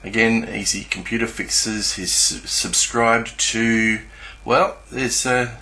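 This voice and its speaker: A man with a low, gravelly voice that still has a bright, forceful edge. He talks through what is on his screen off the cuff, halting and searching for his words. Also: fairly even intonation. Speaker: YouTuber presenting a screen walkthrough. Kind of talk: unscripted screen-share commentary.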